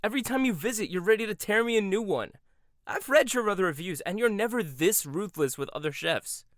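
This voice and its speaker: A man's voice: high voice, animated, fairly quick, a bit bright, no echo, clean studio sound. A man playing a sarcastic, combative chef. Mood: defensive. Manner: sarcastic, confrontational. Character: proud combative young male head chef. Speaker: voice actor. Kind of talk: audio roleplay.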